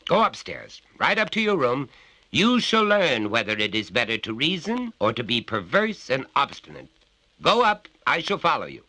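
Storybook tyrant father, pompous and menacing, read by a man, pitched up and lively. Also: moderate pace, dry recording, heavily acted, poor audio. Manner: lecturing, condescending, menacing. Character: pompous overbearing middle-aged father.

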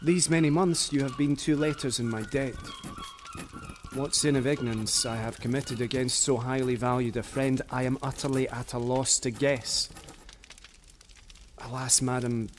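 A man's voice: medium pitch, steady tone, moderate pace, slight background noise, close to an everyday voice. An actor playing a passionate, defiant poet, eloquently voicing his letters in a radio drama. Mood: pleading, wounded. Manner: impassioned, eloquent.

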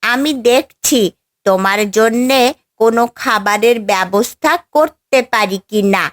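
A woman voicing a caring, loyal female parrot in a fairy-tale cartoon, coaxing gently. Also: fairly high pitch, measured pace, dry recording, natural voice. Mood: soothing. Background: background music.

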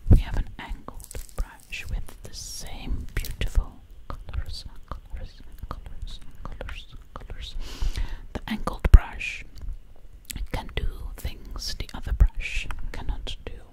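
A woman hosting ASMR in a close, breathy whisper, soothingly repeating her phrases. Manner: slow, soothing repetition of phrases. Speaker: ASMR video host. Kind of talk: ASMR roleplay pampering. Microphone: close microphone.

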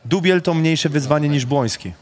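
A man hosting a rapid question round, reading statements aloud with a Polish accent and casually swearing. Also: on the high side.

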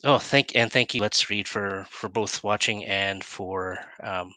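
A man in his forties with a low-pitched, bright voice hosts a relaxed online show. He talks informally and haltingly, often letting sentences trail off. The sound is dry and close, from a headset on a video call. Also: no room sound. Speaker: talk show host. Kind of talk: casual online interview chat. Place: indoor room, on a video call.

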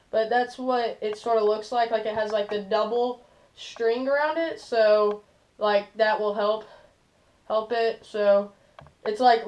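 A teenage boy hosting a casual fishing how-to in a small bedroom, talking loosely with lots of "like" and "stuff like that.". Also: sits high. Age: teens. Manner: loose, filler-heavy, "like" and "stuff like that". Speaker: fishing channel host. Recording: dull lo-fi camera audio.

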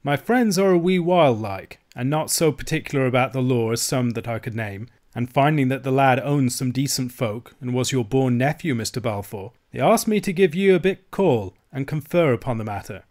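A man reading a storybook voices a proud, stiff-necked Highland gentleman with a Scottish accent, bold, assured and dryly courteous. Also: expressive, normal speed, hardly any pauses, close to an everyday voice. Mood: cool, courteous.

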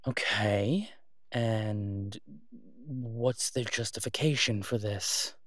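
A man's voice: lowish voice, very slow, a bit bright, no room sound, studio quality, exaggerated voice. A man playing a caring, easygoing boyfriend in an intimate audio roleplay, talking in a hesitant, reassuring, conversational way. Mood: concerned, soothing. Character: caring, easygoing human boyfriend of a werewolf woman.

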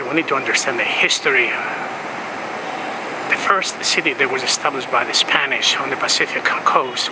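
A man guiding viewers through a church, explaining its history as he walks, in a relaxed way with many pauses. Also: mid-range voice, very noisy. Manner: relaxed, explanatory, pausing often. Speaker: travel vlogger. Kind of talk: walking tour narration. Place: inside a large church.

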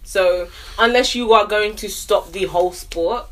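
A woman's voice: medium pitch, unhurried, background noise. A woman, co-hosting a casual film review in a small room and giving blunt, teasing opinions. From Nigeria. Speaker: co-host of a movie review channel.

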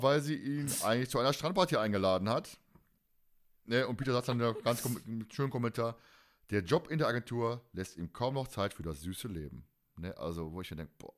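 Man chatting casually as a podcast co-host. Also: medium pitch. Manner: rambling, repeats phrases, colloquial. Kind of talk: casual podcast chat, recapping an audio drama.

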